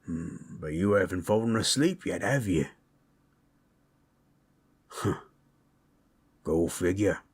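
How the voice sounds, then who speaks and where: A man voicing a gentle, proud orc warrior in an intimate audio roleplay, telling tales in a folksy, rough-hewn, husky way. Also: low voice, expressive, takes it slowly, long pauses, heavily acted. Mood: soft, teasing. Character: gentle, proud male orc warrior storyteller, affectionate, teasing. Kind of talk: intimate comfort roleplay storytelling.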